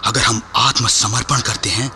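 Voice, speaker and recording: An actor playing an impassioned captured freedom fighter in a radio play, speaking emphatically with rhetorical pauses. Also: male voice, medium pitch, steady tone, pushed and bright, slight background noise. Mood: urgent, reasoning. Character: captured Indian freedom-army soldier, impassioned, shrewd, weary. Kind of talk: Hindi radio play acting.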